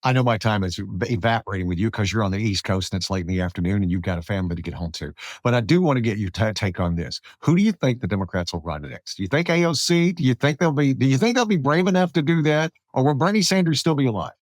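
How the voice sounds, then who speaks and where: A man's voice: on the low side, expressive, fast, few pauses, dry recording, clean studio sound. A man hosting an interview podcast in a casual, conversational way.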